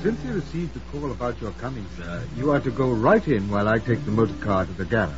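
An actor playing a polite, formal chauffeur and butler in a 1950s radio drama. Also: male voice, medium pitch, very animated, fast, close to an everyday voice. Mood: stiffly polite. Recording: muffled old radio recording with music.

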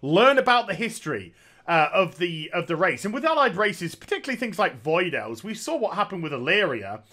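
Man, thirties, bright tense voice, a bit high, sarcastic game commentary, headset mic. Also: sing-song, brisk. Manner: sarcastic, opinionated, jokey asides. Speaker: gaming commentator. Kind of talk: casual opinion commentary. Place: small room at home.

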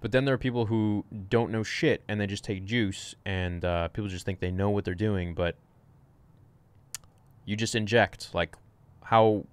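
Young male fitness streamer, low voice, frank off-the-cuff Q&A, close desk mic in a small room. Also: a little slow, smooth. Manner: rambling, frank, swears casually. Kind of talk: casual livestream Q&A.

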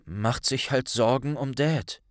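A man's voice: mid-range voice, slow pace, no room sound, studio quality, pitched above the performer's own voice, lightly acted. A man playing a blunt, loyal young male wizard friend with a colloquial way of talking in an audiobook. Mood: casual. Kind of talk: audiobook character voice.

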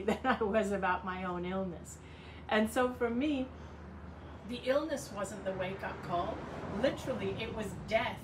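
An older woman from Hong Kong talking earnestly to camera in her sunny backyard garden, gesturing as she speaks. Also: a little high. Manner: warm, earnest, gestures a lot with her hands. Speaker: self-help speaker. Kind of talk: casual talk to camera. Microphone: phone camera at a few feet. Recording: distant outdoor mic with ambient noise.

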